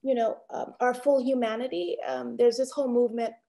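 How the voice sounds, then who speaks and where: A woman's voice: a little high, steady tone, a little fast, vocal fry, dark tone. A woman on a video call from an office, explaining ideas earnestly with some hesitations. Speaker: panelist. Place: office room.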